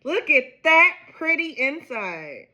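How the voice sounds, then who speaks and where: A man from Ohio chatting casually to camera in a gardening vlog, rambling and low on energy, often breaking into excited exclamations. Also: takes it slowly. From Ohio, USA. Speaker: gardening vlogger.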